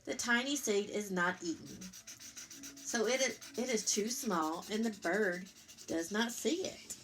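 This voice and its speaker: Woman reading a children's story aloud. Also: mid-range voice. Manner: expressive, pausing to explain and pose simple questions. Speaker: storytime reader, teacher. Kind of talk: read-aloud picture book storytelling for young children, with questions.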